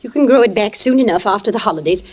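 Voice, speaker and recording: An actress playing an imperious, belittling middle-aged wife who scolds and gives orders in 1940s radio suspense style. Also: female voice, medium pitch, animated, racing, darkish tone, close to an everyday voice. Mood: nagging. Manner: imperious, scolding, clipped commands. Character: bossy, nagging, belittling wife. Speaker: radio drama actor. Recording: muffled old radio recording.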